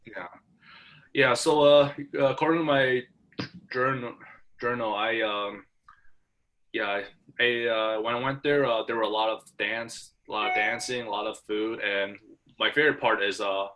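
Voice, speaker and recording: A man's voice: on the low side, fairly even intonation, a bit bright, low-quality recording. A young man from Hong Kong chatting casually with his professor, full of fillers and restarts. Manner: halting, many fillers and restarts. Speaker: student. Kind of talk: casual conversation.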